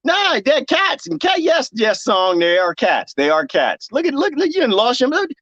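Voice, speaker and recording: A man's voice: very high voice, normal speed, no echo, muffled recording. A man with a Texas accent chatting casually with his viewers while gardening, joking and laughing often. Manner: animated and joking, laughs often, talks straight to his chat. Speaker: livestream host reading viewer comments. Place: garden, planting cuttings.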